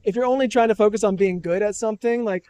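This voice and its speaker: A man's voice: high voice, fast talker, warm tone, clear, resonant tone, no echo. A young man from New Hampshire, a musician being interviewed at a festival, talking in a thoughtful, rambling way with many restarts. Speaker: musician guest. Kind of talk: casual interview.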